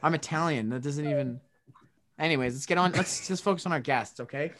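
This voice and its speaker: A male podcast co-host with a somewhat high voice, teasing and joking in loose comedy banter. He playfully mangles names and keeps interrupting with quick gags. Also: fairly bright tone.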